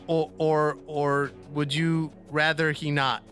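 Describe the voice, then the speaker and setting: A man playing a tabletop roleplaying game, improvising casually with hesitant, halting phrasing. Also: fairly high pitch, level tone, a bit bright. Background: faint music.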